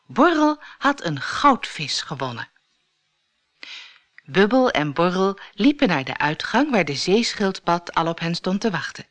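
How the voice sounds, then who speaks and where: A woman reading a scripted children's audiobook in an expressive storytelling manner. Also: lowish voice, measured pace.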